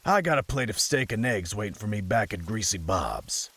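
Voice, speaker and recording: A man playing a gruff, jaded police detective in an audio drama, husky, with a folksy, grumbling, dismissive manner. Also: fairly low pitch, animated, normal speed, fairly bright tone.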